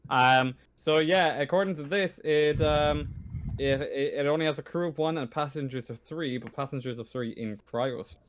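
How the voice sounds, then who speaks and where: A man's voice: on the high side, moderate pace, clean tone. A man running a tabletop game casually as game master, hesitant and rambling, with an apologetic manner.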